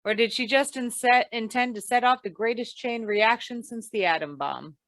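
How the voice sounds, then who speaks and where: A woman playing a tough, wry female insurance investigator in an old-time radio noir, clipped, deadpan and probing. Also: on the high side, normal speed, no room sound.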